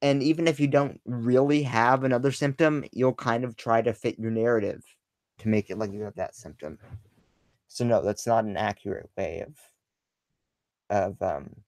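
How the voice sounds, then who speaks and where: A man's voice: medium pitch, darkish tone, no room sound. Skeptical man rebutting a video, dry sound. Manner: skeptical, pauses the clip to rebut it. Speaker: channel host reacting to a video. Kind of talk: casual commentary on a played clip.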